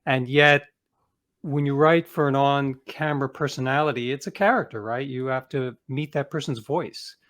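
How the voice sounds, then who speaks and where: A man's voice: mid-range voice, normal speed, a bit bright. A middle-aged man speaking as an interview guest, telling anecdotes conversationally with self-deprecating asides. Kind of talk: casual anecdotal storytelling.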